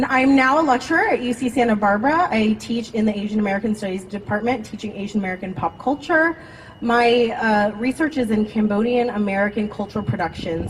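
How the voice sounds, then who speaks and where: A woman's voice: mid-range voice, slight background noise, low-quality recording. A woman who is a lecturer and performer introducing herself on a panel in a bubbly, enthusiastic way, with the odd laugh. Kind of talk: upbeat panel self-introduction. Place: outdoor campus courtyard.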